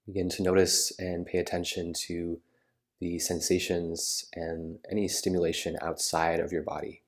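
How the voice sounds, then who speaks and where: Deep, even-toned male meditation guide. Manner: soothing, unhurried phrasing with pauses. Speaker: yoga nidra meditation guide.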